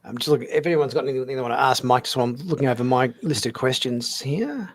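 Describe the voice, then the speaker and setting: A man's voice: mid-range voice, fast talker. A man hosting a casual interview, feeling his way through questions with some hesitation. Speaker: host.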